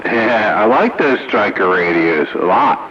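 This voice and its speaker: A man's voice: lowish voice, lively intonation, measured pace, noisy background. A man chatting casually as an operator on CB radio. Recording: dull CB radio, loud chatter behind.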